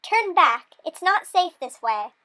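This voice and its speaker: A woman voicing a small, magical, helpful woodland animal in a high little voice for a storybook tale. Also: very animated, slow, sharp tone, dry recording, heavily acted. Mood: urgent warning. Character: small magical woodland creature, hare or mouse, urgently helpful.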